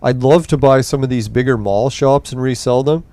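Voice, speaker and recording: Young male podcast host, smooth voice, loose and profane, tiring late at night. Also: medium pitch, moderate pace, mellow, dry recording. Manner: rambling, profane, laid-back. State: voice getting tired late at night. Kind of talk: casual gameplay commentary.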